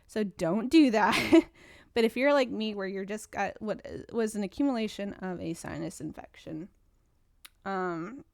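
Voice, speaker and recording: A woman's voice: mid-range voice, unhurried, creaky, darkish tone, dry recording, studio quality. Stuffy-nosed woman rambling on a podcast. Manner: rambling, chatty, frequent restarts. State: stuffy, congested. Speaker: podcast host. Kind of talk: casual solo podcast chat.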